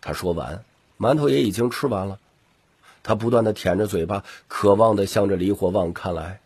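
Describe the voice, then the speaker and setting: A man narrating an audiobook in a scripted, dramatic storytelling style, with a husky, gravelly voice. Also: lowish voice, moderate pace, darkish tone, dry recording.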